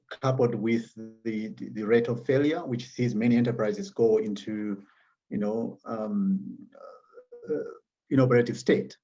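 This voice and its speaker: A man with a southern African accent explaining his work as an interview guest, in measured, hesitant phrases. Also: fairly low pitch, a little mellow, highs cut off. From Zambia and Malawi. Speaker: interview guest, magazine founder.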